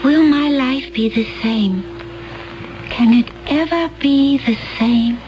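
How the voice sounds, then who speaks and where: An actress playing a calm, otherworldly young woman from another planet, speaking in a slow, measured, detached way, in a 1970s radio drama. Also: sits high, highs cut off, music in the background.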